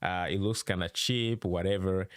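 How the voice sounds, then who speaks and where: Deep-voiced man reviewing mics live. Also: moderate pace, clear, resonant tone, dry recording, clean studio sound. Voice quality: deep, bassy voice. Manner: chatty, excitable, many fillers. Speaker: tech live streamer. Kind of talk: casual live stream product review. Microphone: studio dynamic microphone close up.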